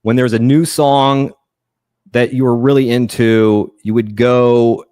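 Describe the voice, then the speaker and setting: A man's voice: medium pitch, flat delivery, slow, darkish tone, smooth, dry recording, clean studio sound. A man joining a fantasy football draft as a guest, speaking casually in a hesitant, stammering but easygoing way. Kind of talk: casual chat.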